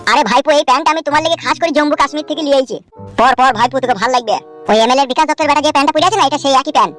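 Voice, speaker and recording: A performer voicing a sly, flattering, scheming village uncle in a cartoon comedy. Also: high voice, very fast. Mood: coaxing, sly. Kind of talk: amateur Bengali cartoon comedy dialogue. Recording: music under the voice.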